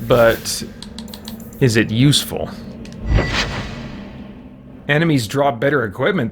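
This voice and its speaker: A man live-streaming a video game, chatting casually with viewers and thinking aloud in a playful, informal way. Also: mid-range voice. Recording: clear background noise behind the voice.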